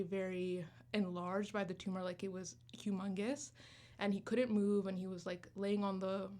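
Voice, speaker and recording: A woman's voice: medium pitch, a little mellow. Young woman in her 20s on a radio interview, earnest, says like and um a lot. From Uganda, raised in Canada. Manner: earnest, talks quickly with fillers like "like" and "um". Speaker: student club founder, guest. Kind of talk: radio interview, casual chat.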